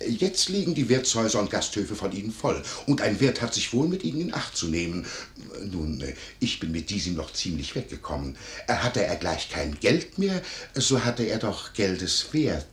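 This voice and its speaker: Fussy, obsequious innkeeper, theatrically animated. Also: male voice, lowish voice. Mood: gossipy, self-righteous. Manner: pedantic, drawn-out dictation, wheedling courtesy. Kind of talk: 1950s German radio play acting. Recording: roomy 1950s radio studio recording.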